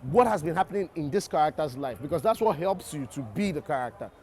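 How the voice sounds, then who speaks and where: Nigerian male actor, interview guest, a bit high-pitched, thoughtful, repeats himself as he thinks. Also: expressive, fast talker, a little mellow. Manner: reflective, restarts and repeats words while explaining. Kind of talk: interview answers.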